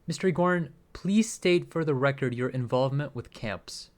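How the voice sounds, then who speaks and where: A man's voice: mid-range voice, moderate pace, lightly acted. An actor playing a formal, businesslike male agent conducting a recorded interrogation in a radio play. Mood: formal, controlled. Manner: formal, procedural, controlled.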